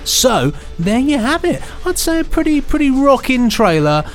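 A man's voice: extremely high pitch, big pitch swings, normal speed, faint music behind. A man co-hosting a podcast in casual, chatty banter. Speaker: podcast co-host.

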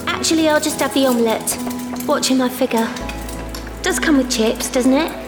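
Woman acting a high, chatty mum. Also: moderate pace, loud music behind the voice, close to an everyday voice. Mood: chirpy. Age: middle-aged. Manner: gossipy, rambling, breezy. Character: chatty, fussing working-class London mum, cheeky and lonely. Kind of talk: audio drama monologue acting.

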